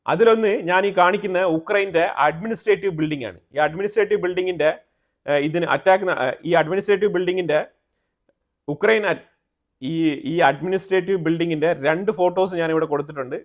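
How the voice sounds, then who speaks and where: A man's voice: high-pitched, fast. A man with a Malayali accent presenting explanatory news commentary, often rephrasing himself and mixing in English terms.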